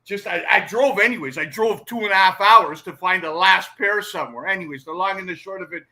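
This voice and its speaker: Middle-aged man, high-pitched, piercingly bright, rambling enthusiastic sports host, casual chat. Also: a little fast. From Toronto, Canada. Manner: rambling, enthusiastic fan talk, tags points with "right?".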